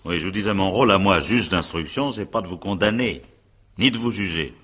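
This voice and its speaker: A male examining magistrate in a radio crime drama. The voice is extremely low and husky. He questions with patience, small hesitations and courteous irony, as a shrewd official who takes his time. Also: brisk, fairly bright tone. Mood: explanatory. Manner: hesitant, probing, politely ironic.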